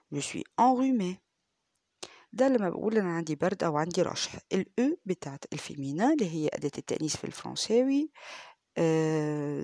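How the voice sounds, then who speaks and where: A woman with an Egyptian Arabic accent teaching French, explaining quickly and repeating phrases slowly and clearly. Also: on the low side, warm tone, no room sound, clean studio sound. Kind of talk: language lesson, reading dialogues aloud.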